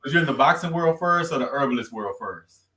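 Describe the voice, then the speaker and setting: A man hosting a podcast, asking loose, halting questions full of street slang. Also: medium pitch, animated, roomy sound, a crowd in the background. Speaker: podcast host. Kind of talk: casual interview talk.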